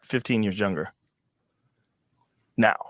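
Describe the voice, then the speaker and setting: A man's voice: fairly low pitch, takes it slowly, a bit bright, no room sound, studio quality. A man hosting a podcast, asking curious short questions in a casual way. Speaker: podcast host.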